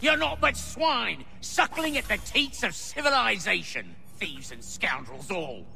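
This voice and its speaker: Scornful prison warden, piercing forceful voice, gravelly creak, pompous haranguing, game drama. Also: male voice, sits high, very animated, measured pace, slight background noise, heavily acted. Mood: contemptuous. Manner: pompous, sermonising, contemptuous. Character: scornful, self-righteous male prison warden haranguing inmates.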